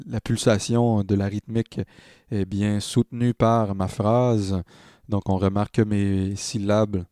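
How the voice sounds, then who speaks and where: Male tutorial narrator, Quebec French accent, low register, unhurried and halting, informal teaching tone. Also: mellow, no room sound, clean studio sound. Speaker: online music production tutor.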